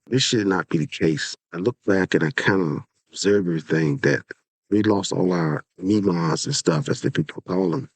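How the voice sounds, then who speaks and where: A man in his sixties speaks in a low, deep voice affected by a head cold. He talks casually and earnestly, like a podcast host urging listeners on and repeating his points. The sound is close and dry, with very little room around it. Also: no room sound. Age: 60s. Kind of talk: casual solo podcast monologue.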